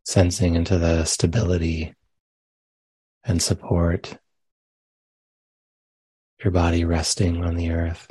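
A man leading a guided meditation as a teacher, speaking gently and unhurriedly with long pauses between phrases. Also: sits low, monotone, breathy edge. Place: meditation center.

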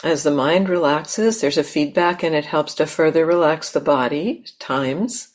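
A woman leading a guided meditation in a gentle, unhurried manner with long pauses. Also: sits low. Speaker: meditation teacher.

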